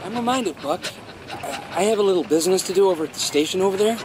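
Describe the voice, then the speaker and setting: Male actor as a sly dog thief, high voice, unhurried, coaxing, theatrical, radio play, noisy background. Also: natural voice. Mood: coaxing, friendly. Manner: coaxing, theatrical, repeats himself. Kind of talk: radio drama acting.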